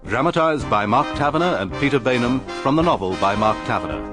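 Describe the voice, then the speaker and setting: A man's voice: medium pitch, very animated, fast. A British man reading scripted credits as a radio drama announcer. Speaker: continuity announcer. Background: music.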